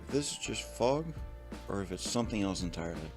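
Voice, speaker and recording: A man playing a wary, questioning young student with a gravelly voice in an audio drama. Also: low-pitched, expressive, fast talker, soft background music. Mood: suspicious.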